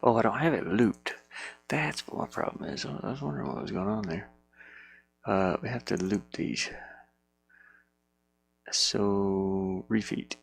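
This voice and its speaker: Male game dev, lowish pitch, very unhurried, casual think-aloud in muttered fragments. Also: vocal fry, husky. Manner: muttering to himself in short fragments. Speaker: indie game developer.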